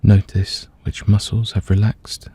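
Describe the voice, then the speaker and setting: A man gently and calmly leading a scripted guided meditation, letting his voice soften at the ends of phrases. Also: on the low side, unhurried, slightly rough, dry recording. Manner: gentle, calm, soothing, hushed at phrase ends.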